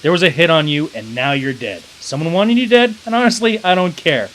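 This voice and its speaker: An actor playing a blunt, contemptuous driver who ferries the dead, in an audio drama. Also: male voice, fairly high pitch, a little fast, a bit bright, a little hiss or hum, natural voice, poor audio.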